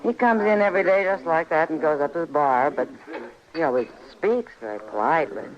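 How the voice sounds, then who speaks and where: An actress playing a wry, world-weary American saloon keeper in a radio Western, talking in a dry, matter-of-fact way. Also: female voice, low-pitched, expressive, fairly quick. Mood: uneasy, resigned. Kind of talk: old-time radio Western acting. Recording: muffled old radio recording.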